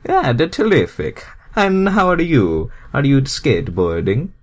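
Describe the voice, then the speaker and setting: A cheerful, friendly plumber in a fan fiction tale, put on by a man. The voice is pushed up high and bounces around, chirpy and hearty. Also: huge pitch swings, unhurried, exaggerated voice.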